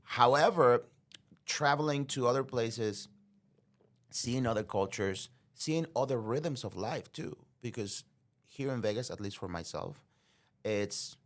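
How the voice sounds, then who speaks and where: A man hosts a podcast in a relaxed, conversational way. He is chatty and keeps affirming what his guest says. He often breaks off to share his own stories. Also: mid-range voice. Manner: chatty, affirming, quick to agree. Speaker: podcast host. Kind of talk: casual interview talk.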